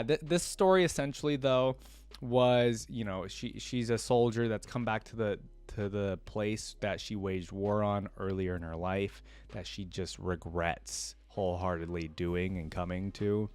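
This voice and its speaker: A man reading a fantasy story aloud and breaking off for casual, informal commentary. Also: medium pitch. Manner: informal, tag questions like "right?". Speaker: reaction video host.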